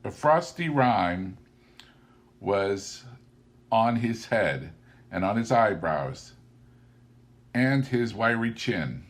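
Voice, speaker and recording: A man reading a classic story aloud as a narrator, with deliberate, theatrical and emphatic phrasing. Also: on the low side, sing-song. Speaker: audiobook narrator.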